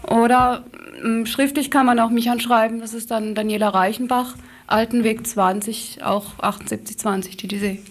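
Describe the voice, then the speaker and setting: A woman with a fairly high voice speaks as an activist in a radio interview. She explains step by step, searching for words and rephrasing as she goes. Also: fairly even intonation. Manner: explanatory, halting with self-corrections. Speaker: activist interviewee from a citizens' campaign.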